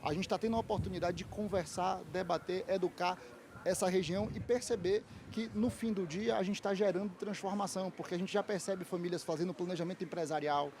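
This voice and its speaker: A man's voice: sits high, fairly even intonation, fairly quick, darkish tone, a little hiss or hum. A man, a lawyer giving fluent, articulate interview answers at a fair stand. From Salvador, Bahia, Brazil. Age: 30s. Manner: fluent, articulate, polished speaker. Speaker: lawyer and institute founder, guest.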